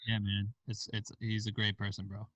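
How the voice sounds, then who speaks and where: Iowa guy on a podcast, chill and slangy, kinda low and monotone, dry room, bit far from mic. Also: normal speed, dry recording, lo-fi sound. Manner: laid-back storytelling with casual slang. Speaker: podcast guest, film director and founder. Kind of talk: casual interview chat.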